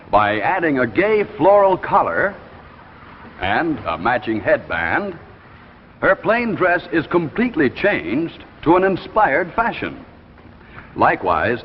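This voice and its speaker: An American man announcing and pitching products in a polished, emphatic 1950s television advertising style. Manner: polished, emphatic salesman delivery. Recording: muffled 1950s broadcast with hall reverb.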